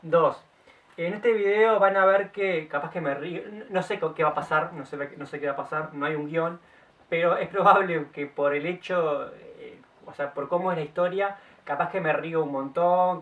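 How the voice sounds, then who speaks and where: A man's voice: on the high side, a little fast, recorded from a distance, narrow, tinny audio. Chatty Uruguayan man, a bit off-mic. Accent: Uruguayan Spanish. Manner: chatty, stop-start, self-correcting. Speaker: vlogger. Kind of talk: casual storytelling to camera. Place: outdoors in the late afternoon.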